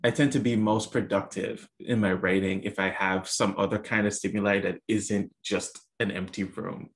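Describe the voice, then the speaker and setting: A man's voice: lowish voice, fairly even intonation, normal speed. A young adult man from the US Virgin Islands, answering interview questions thoughtfully with many fillers and restarts. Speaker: author guest.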